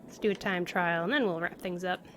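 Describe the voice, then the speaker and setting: A woman's voice: fairly low pitch, a little fast, background noise. A woman giving casual livestream commentary on a video game, thinking aloud in a playful, exclaiming manner. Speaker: game streamer. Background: game music.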